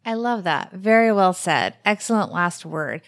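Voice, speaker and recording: A woman's voice: fairly low pitch, lively intonation, measured pace, no room sound, clean studio sound. A woman in her forties hosting a podcast, chatting casually and giving upbeat wrap-ups. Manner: upbeat, plugging and summing up. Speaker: podcast host.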